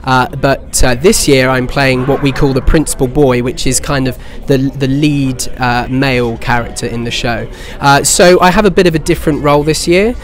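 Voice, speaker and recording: A man in his twenties with an English accent gives casual interview answers. His voice sits at a middle pitch, and he talks as a stage actor would about his work. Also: steady tone, normal speed, few pauses. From Bournemouth, England. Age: 20s. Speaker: pantomime actor.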